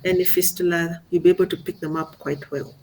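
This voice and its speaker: A woman's voice: on the low side, steady tone, brisk, darkish tone, slight background noise, rough recording. Woman teaching in an informal seminar. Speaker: radiology instructor.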